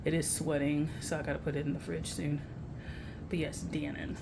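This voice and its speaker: Young American female vlogger, low pitch, informal slangy delivery, noisy home recording. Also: level tone, normal speed. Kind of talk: casual grocery haul chat to camera.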